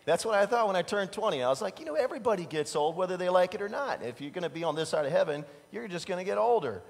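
A man's voice: high-pitched, fast talker, vocal fry. A man in his fifties preaching a casual, conversational sermon from a church pulpit, telling personal stories and chuckling at himself. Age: 50s. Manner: animated storytelling, laughs at his own stories. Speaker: pastor. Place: large church sanctuary stage. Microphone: standing at a pulpit mic, far camera. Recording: long reverb from a church hall.

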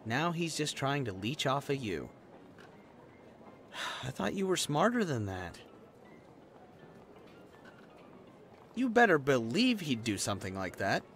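A man acting an animated, blunt young friend. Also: mid-range voice, normal speed, a little hiss or hum. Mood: exasperated. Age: young adult. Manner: blunt, sarcastic, chatty. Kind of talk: roleplay audio drama, conversational acting.

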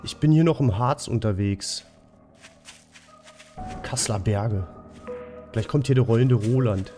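A man's voice: medium pitch, unhurried, mellow, faint music behind. A young man with a cold, hosting a co-op gameplay session in casual chat, self-mocking and full of filler sounds, on a headset at home. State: has a cold. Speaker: gaming host. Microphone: headset at desk.

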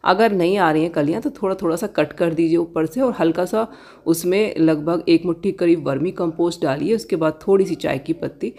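A woman giving a casual tour of her balcony garden outdoors, gently pointing out plants and giving tips to viewers. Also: on the low side, a little fast. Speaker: garden vlogger.

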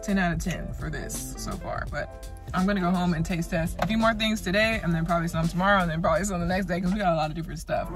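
A woman's voice: on the low side, fast, music in the background. A young woman in her twenties, talking casually and thinking aloud as she shows and tastes her groceries, laughing often. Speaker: lifestyle vlogger. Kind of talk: casual haul and taste-test vlog. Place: car, then a home kitchen.

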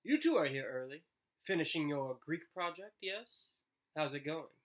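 A man voicing a bustling, chirpy woman teacher of sixth graders, brisk and cheery, in a story reading. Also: a little high, animated, lightly acted. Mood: cheerful.

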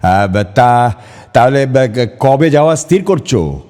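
Man acting a halting, deliberating clubman. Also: medium pitch, animated, dark tone, pitched above the performer's own voice. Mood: hesitant deliberation. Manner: halting, pausing with interjections. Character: hesitant, deliberating clubman in a ghost story. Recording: long reverb over music.

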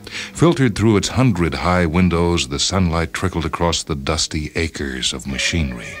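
A man's voice: low-pitched, lively intonation, measured pace. A man hosting and reading short stories as scripted literary narration. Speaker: host and story reader. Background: music and sound cues.

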